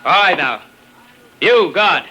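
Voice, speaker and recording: An actor playing an arrogant, bullying military lieutenant who sneers and barks orders in 1950s science fiction radio style. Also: male voice, high-pitched, lively intonation, takes it slowly, muffled recording. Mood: haughty, commanding. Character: arrogant, bullying imperial garrison lieutenant, contemptuous of locals. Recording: noisy old 1950s radio recording.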